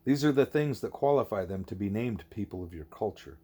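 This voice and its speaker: A man voicing a wise, patient male teacher in an audiobook, speaking in a deliberate, formal, explanatory way. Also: fairly low pitch, animated, dark tone, husky. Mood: patient, instructive. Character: wise, patient teacher creature Ishmael, male, measured and didactic.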